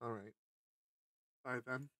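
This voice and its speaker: A streamer reading a visual novel aloud as a soft-hearted, hesitant young man telling his story wistfully. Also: medium pitch, fairly even intonation, takes it slowly, no echo, far from the mic, voice pushed down. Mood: subdued, wistful. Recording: music under the voice.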